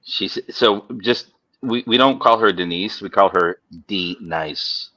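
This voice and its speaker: A man's voice: low-pitched, slow, sharp tone. A man chatting casually and profanely as part of a livestream panel. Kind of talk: casual chat. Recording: slightly dull, lo-fi mic.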